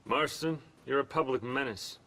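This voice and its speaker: Gravelly, blunt lawman. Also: mid-range voice, moderate pace, pushed and bright. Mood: hostile. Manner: blunt, accusatory. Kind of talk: video game cutscene acting.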